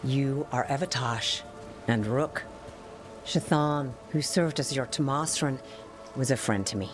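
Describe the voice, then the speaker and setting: An actor playing a Qunari scholar and translator, grave and formal. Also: female voice, a bass voice, slow. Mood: solemn. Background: music.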